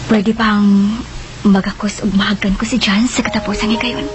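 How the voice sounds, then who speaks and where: An actress playing a hesitant comrade making a tender request, softly, in a radio drama. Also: female voice, mid-range voice, little pitch movement, moderate pace, faint music behind, lightly acted. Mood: wistful. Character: hesitant female comrade asking a tender favor. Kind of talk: melodramatic radio drama acting.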